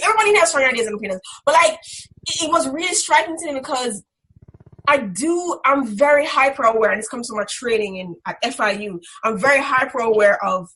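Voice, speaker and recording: A woman's voice: on the high side, pushed and bright, low-quality recording. A young woman speaking candidly and emphatically in a reflective interview. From Caribbean islands. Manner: strident, emphatic. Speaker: interviewee, graduate student instructor. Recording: background noise with clicks.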